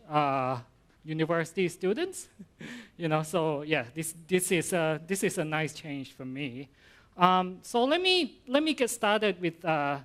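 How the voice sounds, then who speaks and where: A middle-aged Thai man, a university professor, giving an informal conference talk in English with a Thai accent, halting and full of fillers and restarts. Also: sits high, fairly bright tone.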